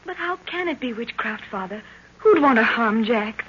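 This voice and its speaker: A muffled 1930s radio adventure recording. a devoted, worried young daughter with a bright, slightly breathy voice. She speaks earnestly and pleads. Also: on the high side, lively intonation, moderate pace. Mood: worried, questioning. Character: anxious, devoted young daughter of an expedition professor.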